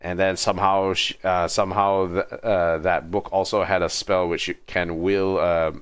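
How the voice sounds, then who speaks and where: A man hosting a casual gaming livestream, talking in a halting, hesitant way with drawn-out pauses. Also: deep voice, flat delivery, fairly bright tone, clear, resonant tone, dry recording. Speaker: livestream host. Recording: music behind the voice. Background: background music.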